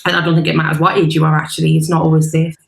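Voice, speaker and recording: A woman's voice: low voice, flat delivery, racing, gravelly. A woman speaking as a panel guest, thoughtful and hesitant, often restarting her sentences. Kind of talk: panel discussion. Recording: roomy echo.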